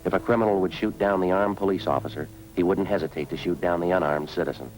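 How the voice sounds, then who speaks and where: Fast, deadpan low-voiced detective played by a man. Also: faint music behind, lightly acted, low-quality recording. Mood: grim, matter-of-fact. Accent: American. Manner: terse, deadpan, matter-of-fact. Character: stoic, dogged male police detective, quietly worried for partner. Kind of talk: 1950s radio police drama, clipped dialogue and scripted narration.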